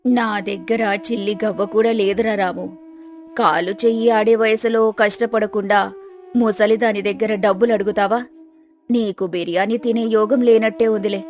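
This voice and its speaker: A woman's voice: mid-range voice, steady tone, moderate pace, a little mellow, close to an everyday voice. Woman as old village grandmother, stern, emphatic scolding, cartoon moral tale, light music behind. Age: older. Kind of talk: acted moral story dialogue.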